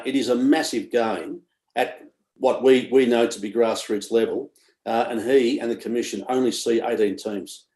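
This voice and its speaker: A man's voice: mid-range voice, darkish tone, reverberant. A man with an Australian accent, ranting sarcastically and scathingly on a sports talk radio panel.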